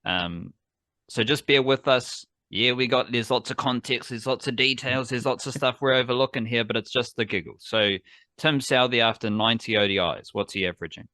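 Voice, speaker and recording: A man's voice: mid-range voice, fairly quick, sharp tone, dry recording. A man hosting a casual sports podcast, rambling enthusiastically and correcting himself as he goes. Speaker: podcast host.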